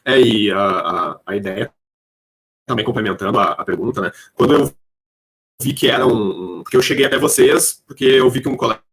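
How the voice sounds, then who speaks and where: A man chatting casually as a podcast guest, in a halting way. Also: on the low side, vocal fry, fairly bright tone, breathy edge. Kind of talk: casual chat.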